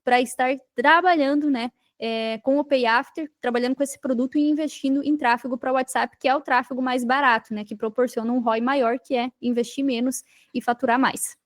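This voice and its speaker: A woman's voice: high voice, steady tone, a little fast, hardly any pauses. A Brazilian woman speaking as a podcast guest and coach, explaining in an informal, didactic way with emphatic repetition. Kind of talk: casual explanatory interview talk.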